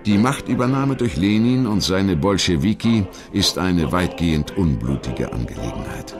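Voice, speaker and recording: A man reading scripted historical documentary narration in a measured, articulate storytelling manner. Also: deep voice, animated, over music.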